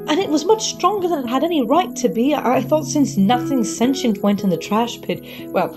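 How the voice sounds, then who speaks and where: A woman's voice: fairly high pitch, close to an everyday voice. A woman playing an earnest, lovestruck young wizard's apprentice with a hesitant manner in an audio drama. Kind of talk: scripted audio drama dialogue.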